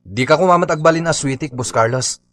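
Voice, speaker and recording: A man's voice: fairly high pitch, quick pace, a bit bright, natural voice. A man playing a stubborn, aggrieved Filipino labourer in a radio comedy drama, insistent and accusing. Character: aggrieved, stubborn male labourer demanding his unpaid wages.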